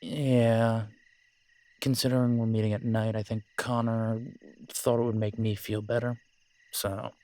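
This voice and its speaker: A man's voice: fairly low pitch, flat delivery, unhurried, a little mellow, close to an everyday voice. Man acting as male werewolf, biker, easygoing, casual phrasing, joking, teasing, audio roleplay. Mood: relaxed, wry. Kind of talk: scripted audio roleplay drama.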